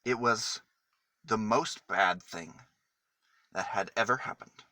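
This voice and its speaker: A man reading a children's story aloud in a dramatic, deliberate manner with emphatic pauses. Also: lowish voice, sharp tone, dry recording.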